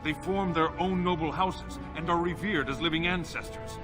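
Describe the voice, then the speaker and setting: An actor playing a principled, stubborn but humble historian in a fantasy game, speaking formally and earnestly. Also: male voice, a little high, steady tone, moderate pace, natural voice. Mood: earnest, defiant. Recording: music behind the voice.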